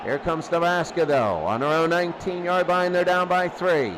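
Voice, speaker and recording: Male football commentator, high register, excitable and conversational, noisy recording. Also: moderate pace. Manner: excitable, conversational asides between plays. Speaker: play-by-play commentator of a simulated football tournament. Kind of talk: live American football radio-style commentary.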